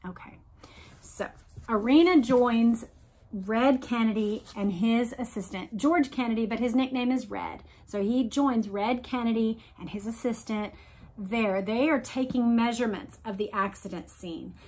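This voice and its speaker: A woman's voice: fairly high pitch, measured pace, darkish tone. A woman hosting a read-along, reading aloud and adding emphatic explanations addressed directly to listeners. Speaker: host of a read-along discussion.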